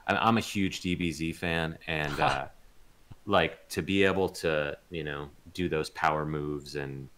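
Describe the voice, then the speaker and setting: A man being interviewed as a voice actor, chatting casually and hesitantly, thinking aloud with pauses. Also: extremely low pitch, steady tone, fairly bright tone.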